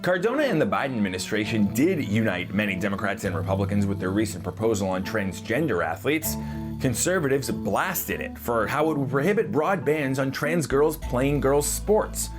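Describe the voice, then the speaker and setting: A male news reporter narrating a piece and asking interview questions in a lively, playful manner. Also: mid-range voice, normal speed, over music.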